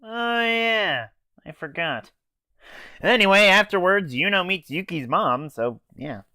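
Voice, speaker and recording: A man's voice: high voice, animated, takes it slowly, sharp tone, dry recording, clean studio sound. A teenage boy casually recapping an anime episode in an offhand, hesitant way with fillers and swearing. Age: teens. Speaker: anime reviewer.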